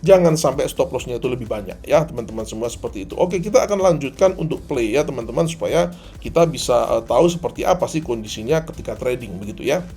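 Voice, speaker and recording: A slightly hoarse man giving a tutorial as a trading instructor, didactic, often asking and answering his own questions. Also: medium pitch, animated, fast talker, warm tone. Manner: didactic, asks and answers own questions, addresses viewers as friends. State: hoarse, often clearing throat. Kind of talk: tutorial commentary on a chart replay.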